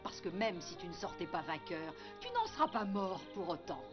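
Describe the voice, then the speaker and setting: A gentle cartoon wolf mom, very expressive, music behind, muffled sound. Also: female voice, lowish voice, moderate pace. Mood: tender. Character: gentle, encouraging mother wolf of a human boy. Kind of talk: French animated series dub.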